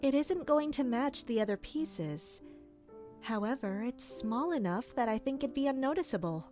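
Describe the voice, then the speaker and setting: A woman's voice: high voice, lively intonation, normal speed, dark tone, soft background music. An actress playing a deferential, reassuring attendant to a noblewoman in an audio drama, courteous and helpful in manner. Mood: reassuring, thoughtful.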